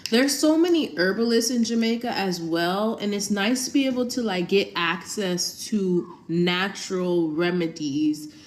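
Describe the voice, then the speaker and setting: A woman chatting casually as a vlogger, animated and full of "like". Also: fairly low pitch. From United States. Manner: animated, heavy use of "like". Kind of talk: casual chat.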